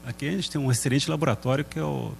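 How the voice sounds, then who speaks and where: A man's voice: mid-range voice, racing, creaky, a little hiss or hum. A man hosting a TV interview, asking short probing questions. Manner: asks short probing questions, brief backchannels. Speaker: TV interviewer host.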